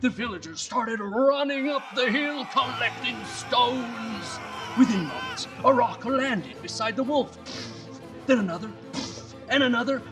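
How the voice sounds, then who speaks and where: A woman hosting and reading children's bedtime stories in an animated, theatrical way with dramatic pauses. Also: fairly high pitch, recorded from a distance, over loud music. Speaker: children's bedtime storyteller and host. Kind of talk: animated scripted storytelling for children.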